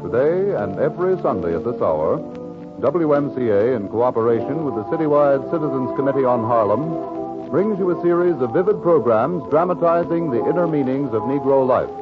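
A man's voice: mid-range voice, lively intonation, a little fast. An American man announcing a radio program in a grand, declamatory 1940s style. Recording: dull vintage 1940s radio broadcast. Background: loud brass music.

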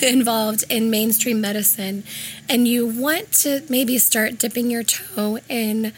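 A woman with a raspy, hoarse voice from laryngitis, explaining her store conversationally in an informal interview as its co-owner. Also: fairly high pitch, fairly bright tone, poor audio. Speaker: health food store co-owner.

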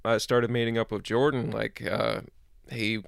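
Young dude chatting on a podcast, super laid-back, kinda casual, swears a bit. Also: male voice, mid-range voice, flat delivery, a little slow, creaky, no room sound. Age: young adult. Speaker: podcast guest. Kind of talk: casual interview talk.

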